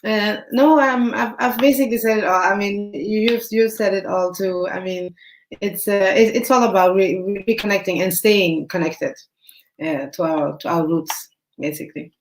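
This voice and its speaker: A woman's voice: mid-range voice, moderate pace. A woman guest speaks into a roomy, echoey space with a faint hiss underneath. She agrees readily, filling gaps with "mm-hmm", and stumbles over her own openings. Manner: agreeable, backchannels with "mm-hmm", hesitant restarts. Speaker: guest on a live stream. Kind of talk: casual live-stream chat. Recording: echoey room with faint noise.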